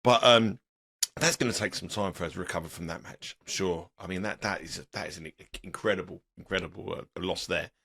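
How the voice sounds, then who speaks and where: Man, middle-aged, sore throat, croaky, gravelly, rambling chat. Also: low voice, brisk, bright, forceful tone. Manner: rambling, repeats words and restarts. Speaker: tennis betting analyst. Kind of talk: casual podcast chat.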